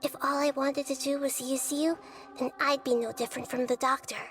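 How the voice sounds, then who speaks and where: An actress voicing a gentle, childlike, wise little female deity in a video game, speaking in a measured, thoughtful way. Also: sits high, steady tone, close to an everyday voice. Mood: frank, composed. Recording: background music under voice.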